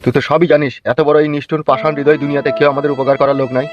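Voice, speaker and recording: A man's voice: a little high, flat delivery, rapid-fire, muffled recording, lightly acted. A man voicing a grumbling, destitute uncle in a comedy cartoon, blunt and melodramatic, with a Bengali accent. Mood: bitter, grumbling. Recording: music behind the voice.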